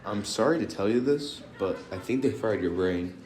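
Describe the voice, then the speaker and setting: A man's voice: fairly low pitch, expressive, moderate pace, darkish tone, close to an everyday voice. A man playing a blunt, skeptical schoolboy friend in an audiobook drama. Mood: scornful.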